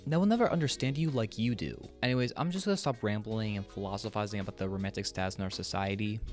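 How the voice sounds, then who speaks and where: Male video host, earnest and blunt opinion read, lively pitch movement, casual swearing, music behind. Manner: earnest, frank, casually profane. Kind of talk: opinionated commentary, scripted and conversational.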